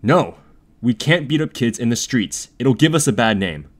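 Shy, earnest newsboy organizer, played by a man, mid-pitched, reasonable. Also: expressive, normal speed, close to an everyday voice.

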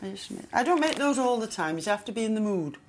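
A woman's voice: mid-range voice, sing-song, quick pace, a little hiss or hum. A woman in her sixties, a grandmother, chatting lightly and self-mockingly with a presenter at home. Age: 60s. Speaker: grandmother on the show. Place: family home.

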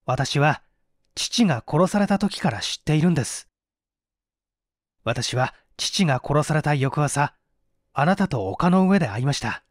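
A man voicing an earnest, composed young gentleman, formal and measured, in an audiobook drama. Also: on the high side, lively intonation, dry recording, studio quality, pitched below the performer's own voice. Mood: grave.

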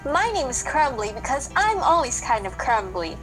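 Woman as lively, high-voiced little cookie girl. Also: moderate pace, over music. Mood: chatty, nervous. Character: nervous, chatty little cookie girl, fourth grader. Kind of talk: children's radio play narration and acting.

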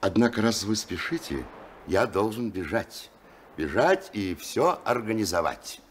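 An actor playing an effusive, flattered official in a 1970s Soviet radio drama, speaking in a gushing, halting way. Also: male voice, on the low side. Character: effusive, flattered male official receiving a gift. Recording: noisy 1970s radio play recording.

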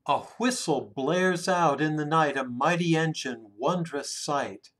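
A man reads a children's picture book aloud expressively, drawing out words and hushing the ends of phrases. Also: a little high. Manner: dramatic, with drawn-out words and hushed phrase endings. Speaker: children's story reader. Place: small room indoors at home.

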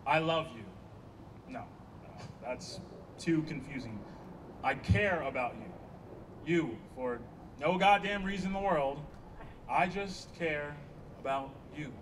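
High-pitched, exasperated man acting on stage. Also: takes it slowly, pauses often. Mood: tender, earnest. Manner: emphatic, sarcastic, sharp-tongued. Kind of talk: staged play reading. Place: small outdoor stage by a block wall. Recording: distant outdoor stage pickup, faint ambient noise.

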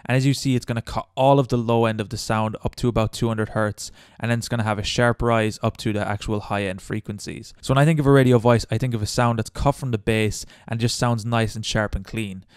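A man narrating a casual step-by-step software tutorial in a chatty, informal way on a good close microphone. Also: fairly low pitch, steady tone, a little fast, hardly any pauses, smooth, no echo. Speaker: tutorial host. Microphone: good close microphone, voice-over.

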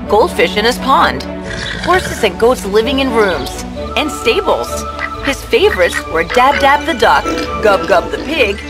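A woman narrator reading a scripted fairy tale as a storyteller. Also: a little high.

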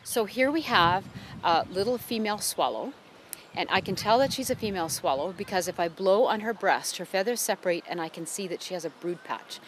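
A woman biologist explaining birds and research outdoors, in a clear, patient way. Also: mid-range voice, expressive, brisk, a little hiss or hum. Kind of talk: explaining on camera. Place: outdoors on a farm.

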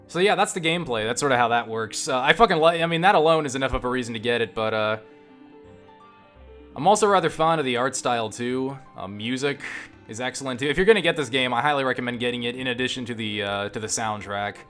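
A man giving casual, profane gameplay commentary, enthusiastic but halting, often restarting his phrases. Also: mid-range voice, fairly bright tone. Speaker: gamer and game reviewer. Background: game music and sound.

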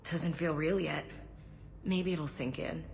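A woman's voice: deep voice, steady tone, slow pace, gravelly, a little mellow, slight background noise, heavily acted. A woman acting as a weary, reserved soldier in a video game, guarded and understated. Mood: guarded, distracted.

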